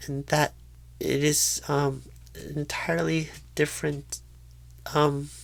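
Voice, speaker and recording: Woman acting a slow, stammering, painfully shy rookie recruiter. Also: deep voice, level tone, gravelly, no echo, full character voice. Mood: awkward, earnest. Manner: halting, stammering, apologetic, hesitant. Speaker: ASMR roleplay performer. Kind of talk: ASMR roleplay monologue.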